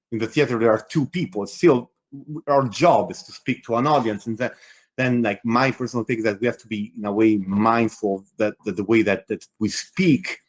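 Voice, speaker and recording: A man from Italy, moderating a panel discussion, often repeating words and restarting phrases as he works out his point. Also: mid-range voice.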